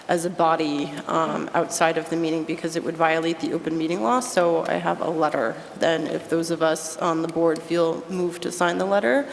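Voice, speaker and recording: A woman on a school committee, making announcements at a meeting while fighting a cold and losing her voice, halting with many fillers. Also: on the low side, creaky. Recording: echoey meeting hall with faint noise.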